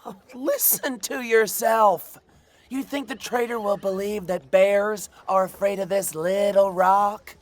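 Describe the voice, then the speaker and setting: An actor playing a chatty, cocky young fortune seeker in an audio drama, wheedling and stammering when challenged. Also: male voice, sits high, fairly even intonation, a little slow. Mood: dismissive.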